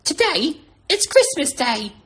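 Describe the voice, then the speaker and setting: A man's voice: very high voice, big pitch swings, slow pace, sharp tone, pitched above the performer's own voice, full character voice. A man voicing a small, sweet, eager boy bunny puppet. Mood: eager, bright.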